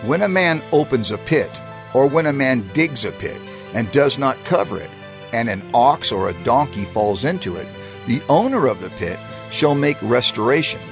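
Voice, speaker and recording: A man reading scripture aloud in a dramatized, solemn and measured way, slowing down for emphasis. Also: mid-range voice, big pitch swings, music in the background. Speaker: scripture reader.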